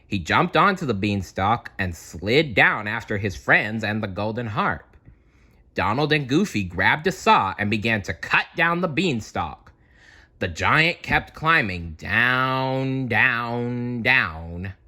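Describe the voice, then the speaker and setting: A man narrates a children's read-aloud with a very animated, bright voice. His delivery is playful and dramatic, unhurried, with a husky edge. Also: medium pitch. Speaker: storyteller.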